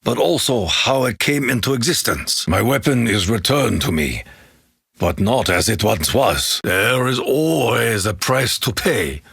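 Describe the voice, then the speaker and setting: An actor playing a solemn, honor-bound Japanese warrior in a video game, with a Japanese accent and a grave, formal, measured delivery in a gravelly, husky voice. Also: male voice, medium pitch, sing-song, a bit bright, dry recording, studio quality, full character voice. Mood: solemn, reverent. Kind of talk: scripted video game character quote lines.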